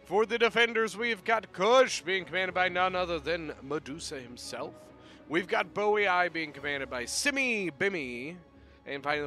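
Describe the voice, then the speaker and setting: A man casting a strategy game battle play-by-play in a chatty, excitable way, his voice a little off as he gets over being sick. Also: fairly high pitch. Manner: chatty, excitable, bursts of loud exclamation. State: voice a little off from recent illness. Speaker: game commentator.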